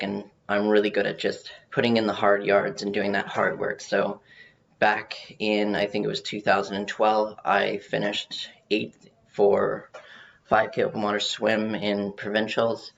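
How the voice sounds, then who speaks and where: A man giving an informal research presentation, speaking haltingly with some repeated words, on muffled audio. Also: lowish voice, gravelly, a bit bright. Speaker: presenter on learning to read.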